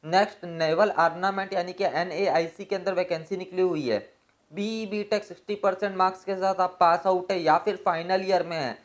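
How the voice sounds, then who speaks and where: A woman's voice: on the low side, steady tone, moderate pace. A woman hosting an informational explainer for viewers, going through details methodically point by point and mixing in many English terms. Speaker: host of an exam and job information channel.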